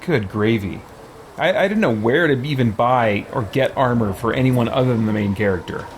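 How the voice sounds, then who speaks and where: A man's voice: medium pitch, expressive, moderate pace. A man thinking aloud in casual game playthrough commentary, muttering to himself with mild exclamations. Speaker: gaming video host. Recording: clear background noise.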